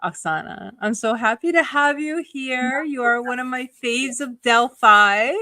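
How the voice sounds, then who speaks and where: Woman host, informal livestream chat. Bright, forward tone, close dry sound, gushing delivery. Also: on the high side, expressive, unhurried, dry recording. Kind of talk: casual livestream interview.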